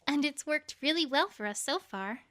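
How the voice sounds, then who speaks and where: A woman's voice: high-pitched, animated, normal speed, a bit bright. A woman voicing an earnest, bookish young female unicorn in a cartoon-pony story, explaining things carefully and a little formally. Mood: earnest, bashful.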